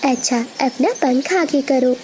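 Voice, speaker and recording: A woman's voice: sits high, unhurried, a little mellow, a little hiss or hum. A woman voicing a kind, polite female sparrow in a children's cartoon. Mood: calming.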